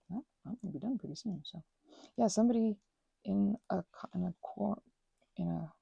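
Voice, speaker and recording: Soft-spoken gravelly-voiced woman rambling. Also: mid-range voice, dry recording. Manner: meandering, self-interrupting, soft whispers and mild exclamations. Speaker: ASMR creator. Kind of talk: soft rambling ASMR craft chat.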